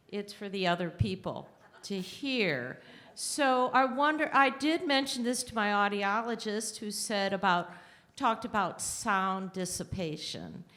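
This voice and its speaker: Slow, halting woman asking a question from the audience in an auditorium. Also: mid-range voice, a bit bright. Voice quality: halting, many pauses and fillers.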